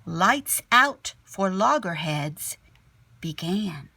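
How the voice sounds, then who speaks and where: Slow, slightly husky woman reading a storybook. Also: lowish voice, dry recording. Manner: animated storyteller, drops to hushed whispery tones. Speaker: second grade teacher reading aloud. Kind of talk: expressive children's picture book read-aloud with asides.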